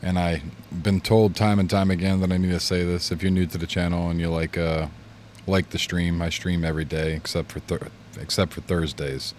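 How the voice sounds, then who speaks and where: A man's voice: low voice, little pitch movement, a little fast, darkish tone, a little hiss or hum. A man streaming games and chatting casually with viewers, laid-back and rambling, his chest congested from a recent illness. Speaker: game streamer. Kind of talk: casual livestream chat. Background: fan blowing near the mic.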